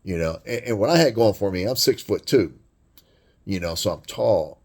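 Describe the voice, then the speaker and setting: A man with a deep voice delivering a blunt, crude, rambling monologue rant full of swearing. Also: animated, normal speed, a little mellow. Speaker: vlogger.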